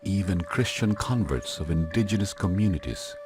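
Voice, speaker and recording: Deep-voiced man narrating a documentary. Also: lively intonation. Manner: measured, deliberate delivery. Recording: narration over clear background music. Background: instrumental music.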